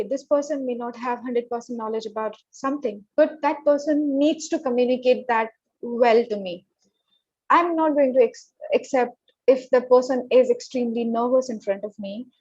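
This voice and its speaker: A woman's voice: sits high, darkish tone, no echo, muffled recording. A woman from Northeast India, giving emphatic career advice as an interview guest and often checking in with "okay?". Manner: emphatic, repeats words, tags "okay?". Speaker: guest, corporate professional.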